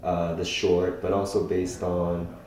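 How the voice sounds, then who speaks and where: A young man from Hawaii, a filmmaker being interviewed, speaking thoughtfully with many pauses and restarts. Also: deep voice, completely flat delivery, darkish tone. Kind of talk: casual interview talk. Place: festival venue indoors. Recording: very echoey room.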